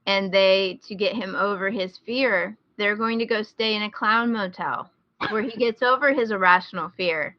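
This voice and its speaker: A woman's voice: medium pitch, moderate pace, no room sound. A woman hosting a podcast, chatting casually as she gives recommendations. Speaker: podcast host. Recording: slightly dull, lo-fi close recording.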